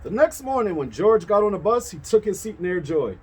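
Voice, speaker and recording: A man reading a children's story aloud as a teacher would, with expressive, emphatic delivery. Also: high-pitched, brisk, slightly rough.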